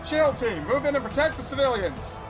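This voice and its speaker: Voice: sits high, big pitch swings, fairly quick. An actor playing a pompous, vain squad commander in an audio drama, speaking in a grandiose, commanding way. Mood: urgent, commanding. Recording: music behind the voice.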